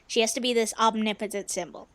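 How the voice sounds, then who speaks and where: A woman giving casual, reactive let's play commentary, joking, halting and emphatic as she goes. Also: fairly high pitch, fairly even intonation, a bit bright, dry recording. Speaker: gaming video host. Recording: faint game music behind the voice.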